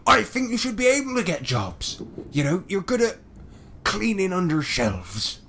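A man's voice: sits high, sing-song, normal speed, pushed and bright, slight background noise, pitched above the performer's own voice, heavily acted. A man voicing a hapless, chatty public defender in a tabletop game, rambling bluntly in colloquial speech. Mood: matey, defensive. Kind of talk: tabletop roleplay character voice.

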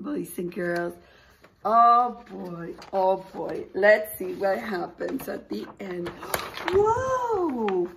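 A woman in her forties, a preschool teacher reading a picture book aloud, exclaiming and putting questions to young listeners in an animated, expressive way. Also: medium pitch. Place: small indoor room with posters.

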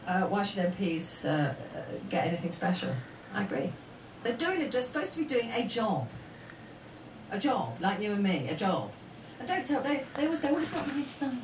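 A woman presents a live phone-in with blunt, sardonic opinions. She sounds far from the microphone in a roomy space, with clear noise around her. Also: medium pitch, very animated, fairly quick. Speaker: radio phone-in host. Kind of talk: opinionated live talk radio. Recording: roomy radio playback, distant, noisy.

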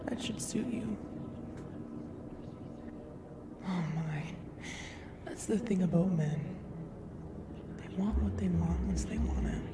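A woman playing a teasing, flirtatious sleep paralysis demon in a whispered ASMR roleplay. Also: mid-range voice. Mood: amused, taunting. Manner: slow, teasing, drawn-out whispering.

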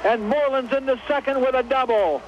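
Very high American announcer, muffled radio. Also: male voice, a little fast. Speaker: baseball play-by-play announcer. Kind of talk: live radio sports commentary. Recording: dull, noisy old radio broadcast.

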